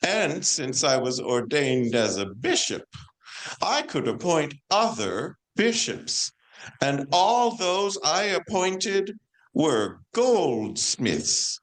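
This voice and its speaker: Woman acting a slow, stately female saint. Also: low voice, very animated, exaggerated voice, poor audio. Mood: dignified, earnest. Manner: measured, declamatory storytelling. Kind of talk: amateur stage play performance.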